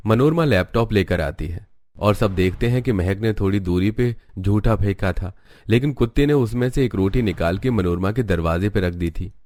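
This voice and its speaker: A man with a fairly low voice narrates a cartoon story. His delivery is scripted narration, told from outside the characters as a storyteller. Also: moderate pace, a little mellow.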